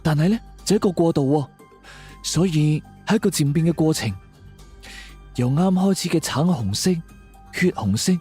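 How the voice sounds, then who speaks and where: Man playing a husky, higher-voiced calm investigator. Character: analytical investigator colleague, calm and perceptive. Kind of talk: audio drama dialogue. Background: background music.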